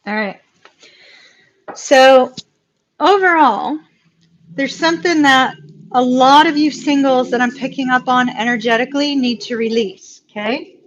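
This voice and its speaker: Chatty hoarse woman tarot reader. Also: high-pitched, dull audio, a little hiss or hum. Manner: chatty, laughs often, repeats words for emphasis. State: voice going hoarse. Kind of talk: live tarot reading stream.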